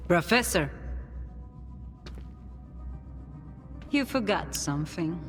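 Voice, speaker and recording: An actress playing a coolly persuasive, calculating woman of authority in a video game, speaking in a measured, politely menacing way. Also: fairly low pitch, animated, close to an everyday voice. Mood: cool command. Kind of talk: video game cutscene acting. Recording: long hall reverb, music underneath.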